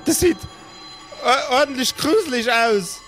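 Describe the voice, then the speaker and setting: A blunt village woman from a comic audio play, played by a man, scolds in a very high voice. She sounds indignant, suspicious and colloquial. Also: expressive, measured pace, fairly bright tone, faint music behind, heavily acted.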